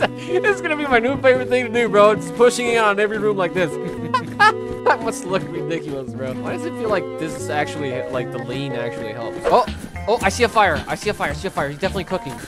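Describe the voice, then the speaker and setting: A gaming commentator with a lively, swooping voice, trading jokes and curses as he plays. His pitch sits a little high for a man. Loud game music runs behind him the whole time. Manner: excitable, swears and laughs often. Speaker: gaming YouTuber.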